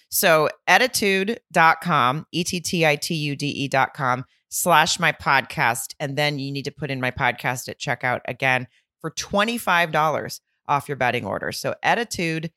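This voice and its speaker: A woman's voice: sits low, pushed and bright, no echo, clean studio sound. A young adult woman with a Southern accent co-hosting a podcast, chatting candidly and laughing often. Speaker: podcast co-host. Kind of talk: casual recap chat.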